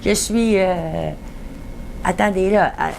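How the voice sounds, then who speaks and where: A woman from Montreal with a Quebec French accent, a patient answering an examiner haltingly, searching for words and swapping them. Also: medium pitch, gravelly, darkish tone, slight background noise, low-quality recording. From Montreal, Canada. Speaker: patient in a clinical interview.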